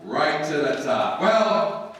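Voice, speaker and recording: An actor playing a blustering, hapless working-class husband with ungrammatical, exasperated talk in a live 1940s-style radio comedy. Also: male voice, on the high side, big pitch swings, slow pace, fairly bright tone, slight background noise, heavily acted. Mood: exasperated, determined. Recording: echoey hall, distant microphone.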